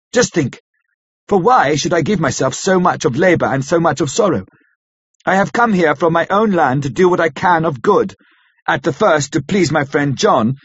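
A man voicing a grave, elderly foreign professor in an audiobook, stern yet compassionate, speaking in solemn, halting, formal phrases. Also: fairly high pitch, slightly rough, dry recording, muffled recording, voice pushed up. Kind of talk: dramatic audiobook character reading.

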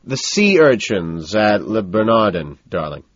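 A man voicing a jovial, self-assured yuppie socialite banker in an audiobook story, loud and hearty. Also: lowish voice, very animated, a little slow, breathy edge, no echo, lo-fi sound, heavily acted. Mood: breezy.

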